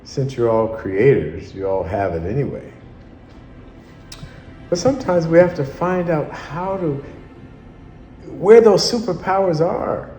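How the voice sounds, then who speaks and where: A man giving a motivational address to children as a coach, deliberate and rhetorical with pauses. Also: on the high side, animated, mellow. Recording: dull, echoey room sound. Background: background music.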